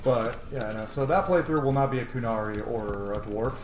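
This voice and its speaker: A man's voice: mid-range voice, normal speed. A man giving casual, rambling, playfully dry commentary as he streams a video game. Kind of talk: casual let's play commentary. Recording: roomy echo, loud background game noise.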